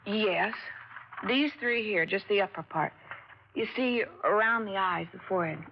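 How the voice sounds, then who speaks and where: A polite, earnest woman witness with a gravelly edge to her voice, played by an actress. She sounds apologetic and eager to help. The sound is dull and muffled, like an old radio broadcast. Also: mid-range voice, normal speed, slight background noise, natural voice. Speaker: robbery witness. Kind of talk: 1950s radio drama acting.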